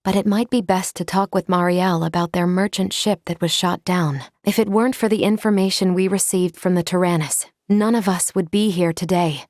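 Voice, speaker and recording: An actress voicing a composed, polite female android in a video game, speaking in formal, measured, precise phrases. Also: lowish voice, fairly even intonation, darkish tone, dry recording, studio quality, lightly acted. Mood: serious, measured. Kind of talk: scripted video game character lines.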